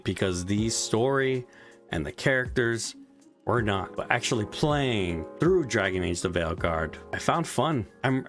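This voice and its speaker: A man giving a casual, ranting video game review, swearing often and restarting his sentences. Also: lowish voice.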